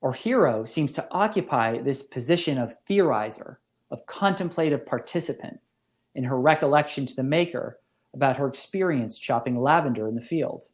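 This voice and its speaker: Measured male lecturer, formal and deliberate. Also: medium pitch, lively intonation. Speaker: lecturer, professor. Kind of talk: scripted philosophy lecture.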